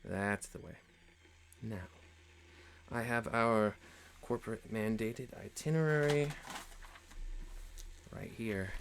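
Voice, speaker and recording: A man playing a stern, pompous office boss in an ASMR roleplay, speaking formally and deliberately with theatrical pauses. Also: lowish voice, vocal fry, noisy background. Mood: calm, instructing. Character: stern, pompous male office boss, mock-solemn, paternal. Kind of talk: scripted ASMR roleplay monologue.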